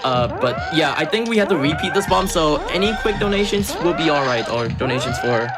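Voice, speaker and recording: A man's voice: fairly high pitch, lively intonation, a little fast. A man giving chatty, giggly live commentary on a speedrun, with lots of fillers. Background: game music.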